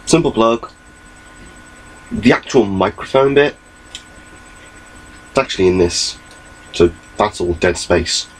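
A man's voice: on the low side, expressive, takes it slowly, long pauses, slight background noise, poor audio. A young man giving a rambling, chatty webcam update from his small bedroom, recorded on his laptop mic. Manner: rambling, chatty, gestures a lot. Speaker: vlogger.

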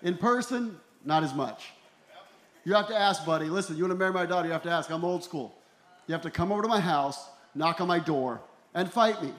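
A man in his forties doing a deadpan, self-deprecating stand-up routine into a handheld stage microphone. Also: on the high side, fairly quick, a little hiss or hum. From Ecuador and El Salvador. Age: 40s. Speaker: stand-up comedian. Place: small comedy venue in a tent, on stage. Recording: roomy live comedy club sound.

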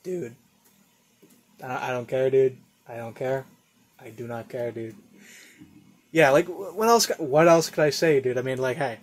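A man's voice: medium pitch, unhurried, pauses often. A young man hosting a reaction video from a room at home, chatting casually, rambling and repeating himself. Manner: rambling, repeats "yo" and "dude", trails into mumbles. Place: home room with a flag on the wall.

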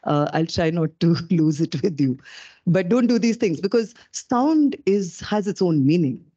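A middle-aged woman with a low-pitched voice gives an animated, self-deprecating public lecture into a podium microphone. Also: unhurried, slightly rough, no room sound, highs cut off. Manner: animated, self-deprecating, gestures while talking. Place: dark auditorium stage.

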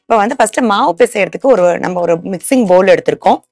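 A woman's voice: mid-range voice, lively intonation, fast talker, a little mellow, no room sound. A woman in her thirties hosting a cooking show, explaining each step plainly from a studio kitchen.

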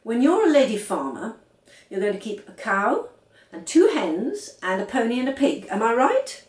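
Woman reading as a patient father, lively pitch. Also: moderate pace, reverberant, recorded from a distance. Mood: patient, doubtful. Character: doubtful, patient, teasing father of young children.

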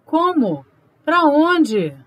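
A woman's voice: sits high, big pitch swings, extremely slow, dull audio, exaggerated voice. A woman playing a concerned, questioning love interest in an audio drama, coaxing and inquisitive. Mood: bewildered.

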